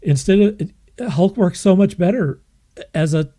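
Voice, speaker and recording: A man hosting a podcast, reviewing things in an enthusiastic, casual way, emphatic and effusive with halting repetition. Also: high voice, lively intonation, mellow. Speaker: podcast host.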